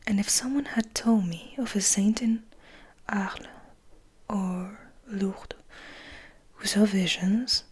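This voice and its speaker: A woman voicing a serene but bitter male vampire in a measured, formal, breathy whisper for a storybook reading. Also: mid-range voice.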